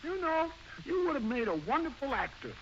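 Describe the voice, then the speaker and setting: A bumbling, excitable suburban dad in vintage radio comedy. His high voice swoops about as he stammers and interjects. The old recording is dull and noisy. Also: a little fast, exaggerated voice. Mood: eager, coaxing. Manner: flustered, stammering, interjecting constantly. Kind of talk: 1940s radio comedy acting.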